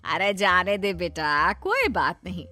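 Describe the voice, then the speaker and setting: A woman voicing a bossy but soft-hearted middle-aged mother-in-law in a storybook tale, emphatic and scolding in a motherly way. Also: lowish voice, sing-song, slow pace, faint music behind, voice pushed down, full character voice. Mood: indulgent.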